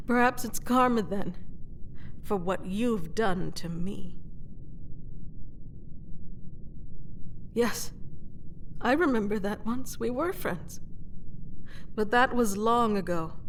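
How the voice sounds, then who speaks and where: A woman playing a proud, reckless captured warrior queen who is wounded and secretly in love, in a theatrical, halting fantasy audio roleplay. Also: fairly high pitch, a little hiss or hum. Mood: bitter, controlled. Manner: theatrical, halting, emotional delivery. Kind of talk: dramatic fantasy audio roleplay addressed to the listener.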